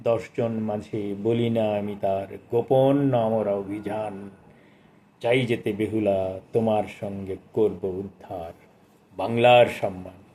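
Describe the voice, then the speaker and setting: A man in his sixties presenting a book of poetry, speaking and reciting in a measured, expressive way with formal literary diction. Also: on the low side, slight background noise. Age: 60s.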